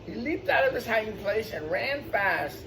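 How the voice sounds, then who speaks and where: A man's voice: high voice, expressive, moderate pace, vocal fry. A man hosting storytime, reading a children's picture book aloud with exclamations and explanatory asides for young listeners. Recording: distant mic, faint background noise.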